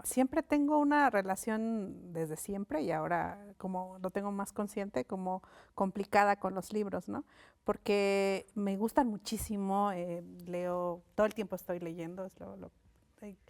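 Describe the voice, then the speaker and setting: A woman from Mexico, a native Mixe speaker, talks as a studio interview guest. Her voice sits in a middle register, and she builds her points slowly, stopping for fillers and restarting phrases as she thinks aloud. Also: mid-range voice, darkish tone. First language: Mixe (Ayuujk). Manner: reflective, frequent fillers and restarts. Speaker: guest, linguist and writer. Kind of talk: thoughtful conversational interview. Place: TV studio set.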